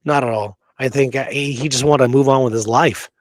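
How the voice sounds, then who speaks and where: A man talks history as a podcast co-host in a casual, conversational way. He makes his points emphatically and often tags them with a quick check for agreement. His pitch is average for a man, and the sound is close and dry. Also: steady tone, no room sound. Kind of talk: casual history discussion with some reading aloud.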